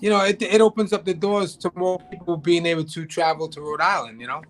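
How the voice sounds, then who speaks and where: A man's voice: a little high, fast talker, slight background noise. A man talking as a guest on a live drone show, rambling with many restarts and fillers. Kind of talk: casual chat on a live show. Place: home, cold region.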